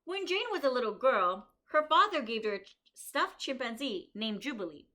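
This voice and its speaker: Bright-voiced woman reading aloud to kids. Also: a little high, animated. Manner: pauses the reading to think aloud and explain; slows down and stresses key story lines. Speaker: kindergarten teacher. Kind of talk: picture-book read-aloud for young children.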